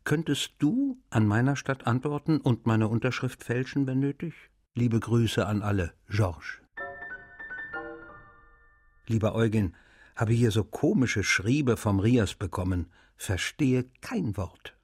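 An actor playing a wry, weary male writer reading his letters aloud in a radio feature, in a measured, unhurried manner. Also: medium pitch, expressive, darkish tone, faint music behind. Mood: wry, casual.

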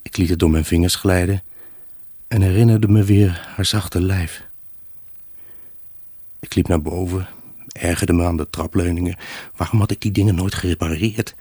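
An actor playing a lonely, grieving husband in an intimate radio drama monologue, brooding, halting and emotionally raw. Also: male voice, low-pitched, steady tone, mellow, husky, no echo. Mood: mournful, regretful. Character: grieving, haunted husband, lonely, obsessive and pleading. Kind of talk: Dutch radio drama monologue, intimate first-person narration.

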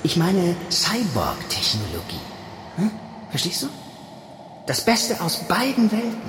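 An actor playing a sly, powerful disembodied schemer who craves a body, speaking deliberately in a radio drama. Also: male voice, high voice, huge pitch swings, heavily acted. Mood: eager, amused. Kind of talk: German radio drama acting. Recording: noisy echoing hall, long reverb.

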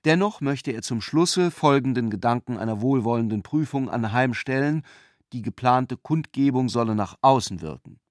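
A man narrates a literary radio drama in a middle-range voice. His delivery is formal and measured, recorded dry with hardly any room around it. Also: mid-range voice, expressive, no room sound. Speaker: narrator of a radio play adaptation.

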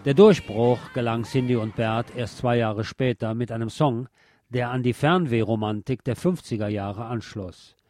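A man's voice: medium pitch, normal speed, a little mellow. A man presenting a scripted music-history narration in literate, formal wording with a dryly ironic manner. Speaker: host.

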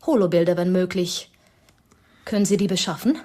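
An actress playing a cool, haughty young corporate executive in a German radio drama, speaking formally and crisply to the point. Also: female voice, fairly low pitch, normal speed, warm tone, lightly acted. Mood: cool, businesslike. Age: young adult. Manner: formal, businesslike, clipped.

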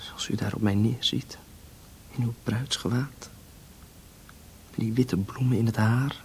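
A man acts a fervent young priest at prayer in a radio drama. He half-whispers in halting phrases, very slowly and breathily. A faint noise lies underneath. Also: mid-range voice, steady tone, darkish tone, natural voice. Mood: rapt, yearning. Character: fervent young priest praying in yearning devotion. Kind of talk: Dutch radio drama monologue.

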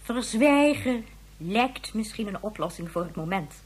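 An actress in a Dutch radio drama playing a stern, reproachful government leader, formal, cutting and authoritative, with a slightly husky voice. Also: female voice, medium pitch, lively intonation, a little slow. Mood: stern, skeptical.

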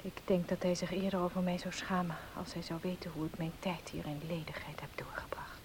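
A woman's voice: fairly low pitch, fairly even intonation, brisk, darkish tone, close to an everyday voice. An actress playing a polite, determined young woman in a Dutch radio play, speaking earnestly. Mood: pained but firm. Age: young adult. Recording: hissy old radio play recording.

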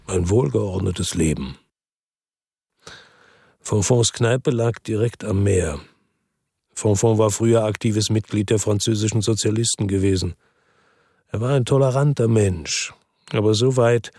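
Weary ex-cop narrator. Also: male voice, lowish voice, creaky, breathy edge, no room sound, studio quality, full character voice. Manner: calm, reflective first-person narration. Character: world-weary ex-cop, middle-aged man, lives by the sea. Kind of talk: radio drama narration.

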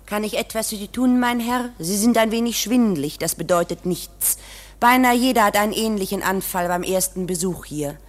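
An actress playing a composed, reassuring woman of the court offices in a radio play, calm and matter-of-fact. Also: mid-range voice, moderate pace, lightly acted. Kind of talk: German radio play acting.

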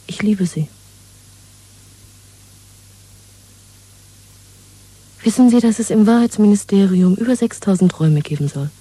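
A woman's voice: medium pitch, lively intonation, fast talker, mellow, breathy edge, no echo, rough recording. An actress in a German radio drama playing a bold, secretive young woman in love, half-whispering in a cool, intimate, knowing way. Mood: intimate, insistent.

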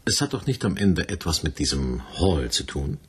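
An actor playing a brusque, domineering military major in a radio play, with a rough, husky voice and a curt, sarcastic manner. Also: male voice, sits low, very animated, moderate pace, heavily acted. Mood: sarcastic, unyielding. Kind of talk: 1980s German radio play acting.

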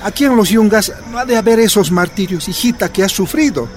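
A man's voice: sits high, brisk, soft background music, close to an everyday voice. A man acting in a radio drama as a devoted older father and proud former union leader, earnest and exhorting. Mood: consoling.

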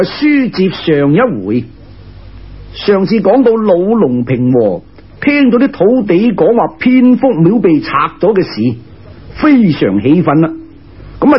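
A man's voice: high voice, very animated, measured pace, breathy edge. A man with a Cantonese accent telling a serial tale in traditional dramatic storytelling style. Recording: muffled lo-fi radio recording.

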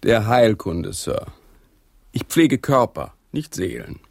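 A man's voice: fairly low pitch, huge pitch swings, takes it slowly, creaky, darkish tone, dry recording, clean studio sound, exaggerated voice. A man playing a blunt, scornful English country physician who declaims sardonically in a German radio drama. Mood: dry, scornful.

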